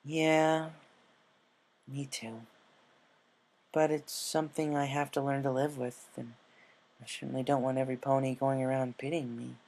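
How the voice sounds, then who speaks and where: A man voicing a brave young female pegasus, speaking softly and earnestly like a reassuring big sister in a story reading. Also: medium pitch, flat delivery, moderate pace, long pauses, natural voice. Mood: earnest, encouraging.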